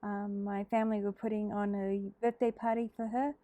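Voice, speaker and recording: A woman's voice: medium pitch, flat delivery, moderate pace, mellow, no echo. A middle-aged Australian woman hosting a casual crafting video, chatting hesitantly with lots of ums and sounding slightly throaty from a cold. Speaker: craft channel host. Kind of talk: casual crafting tutorial chat.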